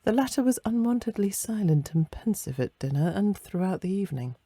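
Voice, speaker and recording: A woman reading a ghost story aloud as dramatic, measured literary narration. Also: medium pitch, animated, dark tone, slightly rough, dry recording. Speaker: story reader.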